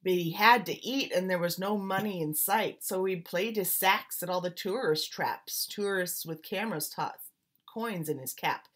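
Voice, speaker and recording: A woman teacher reading a picture book aloud in an expressive, rhythmic storytelling style, leaning on the rhymes and beats. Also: lowish voice, moderate pace.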